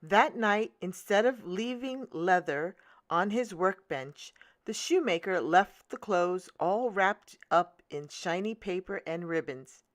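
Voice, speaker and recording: A woman narrating a children's storybook as a read-aloud host, in a deliberate, expressive manner. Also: medium pitch, no room sound, clean studio sound.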